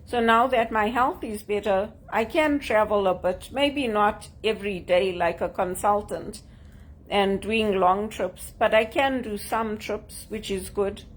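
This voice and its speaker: A woman from Zimbabwe with a Zimbabwean accent, talking to camera in an earnest, measured way about job hunting. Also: medium pitch, low-quality recording. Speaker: job seeker sharing tips. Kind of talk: casual talk to camera.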